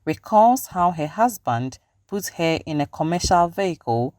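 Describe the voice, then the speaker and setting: A woman's voice: on the low side, expressive, a little slow, darkish tone, no echo. A woman with a Ghanaian accent reading scripted news narration as a reporter. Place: close dry voice-over recording.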